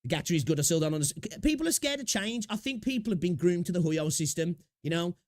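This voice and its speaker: A man's voice: high-pitched, animated, fast, dry recording, clean studio sound. Sniffly chatty man streaming. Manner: rambling, chatty, greets viewers. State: sniffly with a sore throat. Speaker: streamer reacting to videos. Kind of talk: casual live-stream chat.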